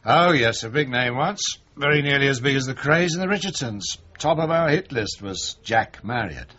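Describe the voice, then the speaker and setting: An actor playing a blunt, seasoned British chief inspector in a radio drama, terse and world-weary, with a rough-edged voice. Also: male voice, medium pitch, animated, normal speed, bright, forceful tone. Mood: grimly reminiscing. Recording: dull, band-limited radio broadcast.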